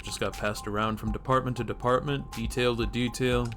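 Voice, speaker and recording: A man performs a world-weary male police officer in a cyberpunk noir game. The delivery is blunt and wry at a middling pitch, explaining things matter-of-factly over music. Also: flat delivery, fairly quick, lightly acted. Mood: wry, explanatory. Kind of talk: reading noir game dialogue aloud.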